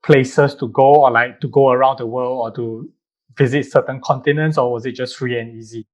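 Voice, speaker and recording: A man's voice: medium pitch, fairly even intonation, a little fast, a little mellow. A man hosting a podcast, upbeat and chatty, asking long, rambling questions. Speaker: podcast host. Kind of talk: casual interview chat.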